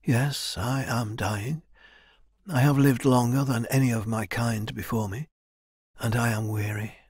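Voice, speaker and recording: A man voicing an ancient, weary female elder, a grave mentor speaking in a quiet, measured way in a fantasy audiobook. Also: medium pitch, steady tone, husky, no room sound, clean studio sound. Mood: weary, sad.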